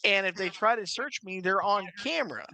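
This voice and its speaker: A man's voice: sits high, animated, fairly quick, vocal fry, crowd noise. A man chatting casually on a livestream in a slangy, insistent, opinionated way. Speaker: livestream talker. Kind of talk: casual chat.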